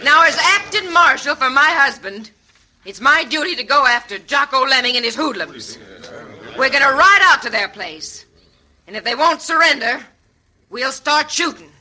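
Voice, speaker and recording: Very high, plucky frontier lawwoman. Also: expressive, normal speed, exaggerated voice. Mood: determined. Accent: American Western. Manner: forceful, indignant, declamatory. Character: plucky, defiant marshal's wife acting as lawwoman. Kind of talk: old-time radio western acting.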